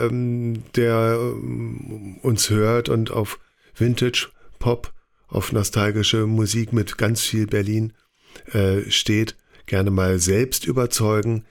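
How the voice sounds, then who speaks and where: A man, a singer-songwriter, answering interview questions in a modest, reflective storytelling manner. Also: medium pitch.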